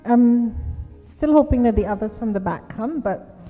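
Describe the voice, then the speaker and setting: A woman at a middle pitch welcomes a guest speaker on behalf of her church. She talks informally and pauses often on fillers. The sound is narrow and tinny, with long reverb and faint music underneath. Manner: informal, hesitant with fillers, then a soft prayer. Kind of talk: welcome speech and prayer. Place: church hall.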